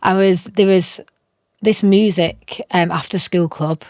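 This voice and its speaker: A woman's voice: on the low side, fairly even intonation, a little slow, no room sound, clean studio sound. A young woman, a musician guest, chatting in an interview and telling stories with frequent restarts. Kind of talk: casual interview chat.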